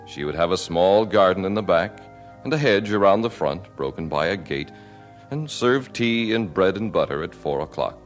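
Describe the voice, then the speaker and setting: A man narrating a scripted radio drama in a measured, formal storytelling manner. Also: sits low, lively intonation, faint music behind. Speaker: narrator and announcer.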